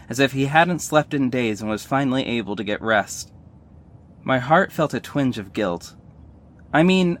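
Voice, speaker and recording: Guy acting as young superhero narrator, earnest and emotional, audio drama storytelling, mid pitch. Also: close to an everyday voice. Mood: somber, guilty. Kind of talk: dramatic first-person scripted narration.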